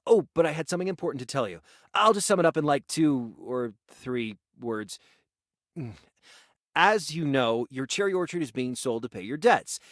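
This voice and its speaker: An actor playing an eager, awkward self-made merchant of peasant birth in a visual novel, earnest and halting, sometimes stammering. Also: male voice, mid-range voice, no room sound, clean studio sound, close to an everyday voice. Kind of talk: naturalistic visual novel acting.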